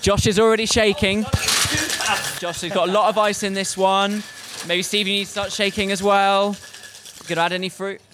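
A man's voice: high voice, level tone, fairly quick, very noisy. A man with an English accent hosting a radio segment, giving upbeat running commentary. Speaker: radio presenter.

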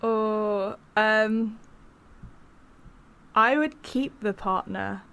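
A woman's voice: mid-range voice, drawn-out words, gravelly, pushed and bright, clear, resonant tone. A woman who is a podcast guest, talking frankly and chattily and speaking her mind. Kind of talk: casual conversational interview.

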